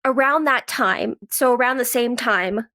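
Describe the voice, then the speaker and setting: Girl in her 20s w a cold, highish voice, chatty interview talk, says like a lot. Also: a little slow, bright, forceful tone. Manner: youthful, conversational, peppers talk with "like". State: has a cold. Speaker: guest, actress and writer. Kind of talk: casual interview chat.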